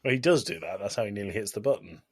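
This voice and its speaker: A man's voice: fairly low pitch, animated, racing, husky. A man co-hosting a podcast, chatting casually with wry, joking interjections. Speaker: podcast co-host. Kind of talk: casual comedic chat.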